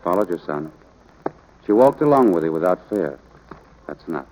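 A low-voiced, plainspoken rancher father. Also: very animated, normal speed, darkish tone, rough recording. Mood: firm, matter-of-fact. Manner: terse, matter-of-fact, instructing. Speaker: actor in radio play. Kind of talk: 1940s radio drama acting.

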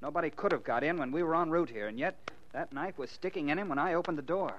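An actor playing a brisk, matter-of-fact ambulance doctor in 1940s radio drama style. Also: male voice, on the high side, a bit bright, natural voice. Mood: grave. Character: brisk male ambulance doctor rattled by a death. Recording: dull, noisy 1940s radio recording.

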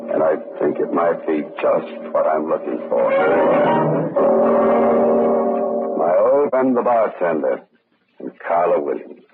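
Wry writer-detective, vintage radio drama: bass-deep, dry and clipped, thin archival sound. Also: male voice, expressive, moderate pace. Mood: grimly sardonic. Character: wry, cool-headed male mystery writer turned amateur detective. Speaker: radio actor playing the lead. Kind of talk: old-time radio detective drama acting, first-person narration. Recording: tinny old radio recording under loud music.

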